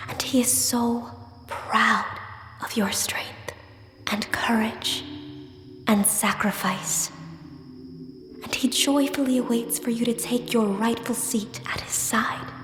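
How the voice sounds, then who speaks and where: Slow, whispering heavenly comforter. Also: female voice, on the high side. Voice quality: breathy whisper. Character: tender otherworldly female comforter, reverent and urging. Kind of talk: biblical audio drama acting. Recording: long cavernous reverb, music behind. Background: music.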